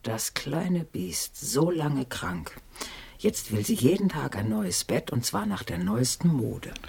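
An actress playing a dead wife's ghost in a radio play, dry, sardonic and reproachful, with a husky voice. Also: female voice, sits low, animated, slow, darkish tone, full character voice. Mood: quietly accusing.

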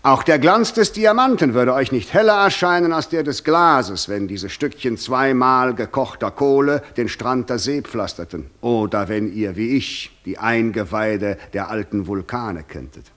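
A man's voice: medium pitch, expressive, a little slow, hardly any pauses, a bit bright. A man acting the Devil in a German radio play, proud, sardonic and eloquent, with grand, ironic delivery. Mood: musing, grandiose. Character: the Devil, proud, sardonic, worldly-wise old tempter.

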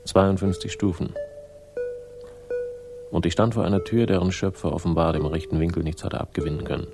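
An actor playing a dry, laconic hard-boiled investigator in a 1960s German radio crime drama, deadpan and sardonic. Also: male voice, sits low, fairly quick, gravelly. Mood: dry, wry. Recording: 1960s studio radio play with music.

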